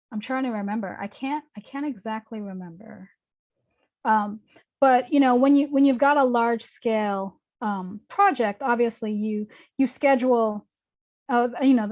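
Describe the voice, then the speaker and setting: A woman in her forties, originally from New York, answers questions after her lecture as a building conservator in a casual, hesitant way, with many fillers and restarts. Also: on the high side. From New York, the Bronx.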